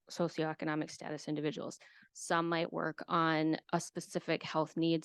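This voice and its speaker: A woman who works as an extension educator, explaining a program to a county committee in a hesitant way, with many filler pauses. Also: low-pitched, gravelly, clear, resonant tone, no echo. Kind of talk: committee meeting presentation.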